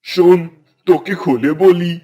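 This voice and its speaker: A man's voice: high voice, slow pace, husky, lo-fi sound, exaggerated voice. A man voicing a humble, pious, elderly woodcutter in a moral-story cartoon, with a plaintive, devout way of talking. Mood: hopeful. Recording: loud music behind the voice.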